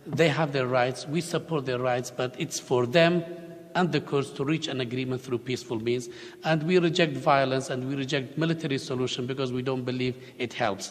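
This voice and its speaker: A man giving measured, diplomatic remarks as a panel speaker in a conference hall. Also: mid-range voice. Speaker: panel speaker for a regional government. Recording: long reverb of a conference hall.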